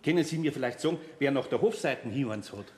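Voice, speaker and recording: A man's voice: mid-range voice, expressive, fast, reverberant, close to an everyday voice. A man playing a good-hearted, flustered older craftsman with a Bavarian accent, hesitant and muttering, in a children's radio play. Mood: polite.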